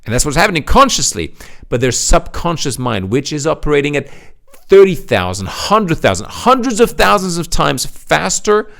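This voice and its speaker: A man giving a motivational talk with a lively voice that climbs high and drops low as he drives each point home. His pitch sits a touch above the usual for a man, and he leans hard on key words. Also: moderate pace, vocal fry. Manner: emphatic, rising and falling for effect. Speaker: speaker presenting to an audience.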